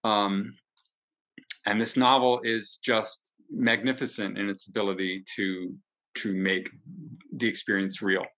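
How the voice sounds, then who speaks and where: Bright-voiced middle-aged man, halting and earnest. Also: lowish voice, little pitch movement, low-quality recording. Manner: halting, searching for words. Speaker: book discussion participant. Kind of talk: earnest, reflective discussion. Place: indoor room, video call. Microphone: computer mic on video call.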